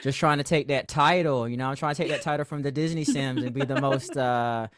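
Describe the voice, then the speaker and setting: A man talking as a podcast guest about his gaming videos, relaxed and rambling, trailing off into quieter asides. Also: mid-range voice, fairly quick, crowd noise. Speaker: podcast guest, game content creator. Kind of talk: casual chat.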